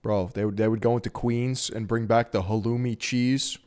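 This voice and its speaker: American man streaming, slangy jokey commentary, mid-pitched, swears a lot. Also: fairly even intonation, normal speed, darkish tone, clear, resonant tone. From United States. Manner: slangy, joking, swears freely. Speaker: streamer reacting to a video. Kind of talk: casual reaction commentary.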